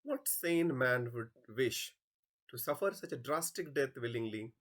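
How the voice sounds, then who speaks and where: Guy reading as a confused palace guard in a stage play, respectful, unhurried, pitch moves around a lot. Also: lively intonation, a bit bright. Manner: deferential. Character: respectful, bewildered male palace guard reporting to king.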